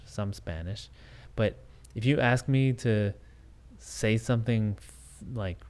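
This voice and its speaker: A man's voice: on the low side, a little mellow. A man hosting a solo podcast, talking things through in a thoughtful, hesitant way with many restarts and fillers. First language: English. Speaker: podcast host.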